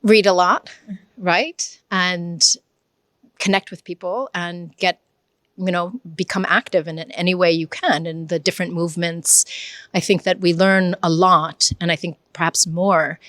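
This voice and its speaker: A woman's voice: on the low side, fairly even intonation, measured pace, fairly bright tone, clean studio sound. A woman professor in her forties with an American accent, answering interview questions thoughtfully in a lecture hall, speaking into a handheld mic. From Israel and United States. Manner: thoughtful, earnest, with restarts. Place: large university lecture hall, indoors. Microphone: handheld mic held near mouth.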